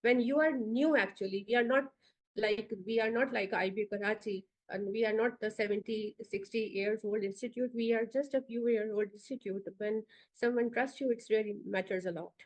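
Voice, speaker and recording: A woman with a Pakistani accent and a fairly high voice addresses an online orientation over a video call. She talks in a loose, hesitant way, full of ums and uhs, stopping now and then to ask for the next slide. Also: normal speed, low-quality recording. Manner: hesitant, many fillers, directs slide changes. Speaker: institute founder giving orientation.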